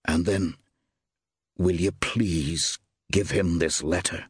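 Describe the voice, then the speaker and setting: An earnest, insistent young man, acted out by a male narrator. The voice is a little husky and rises and falls freely. He presses his point with urgency, in the style of an audiobook mystery. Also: low voice, lively intonation, normal speed, no echo, clean studio sound. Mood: hopeful, tense.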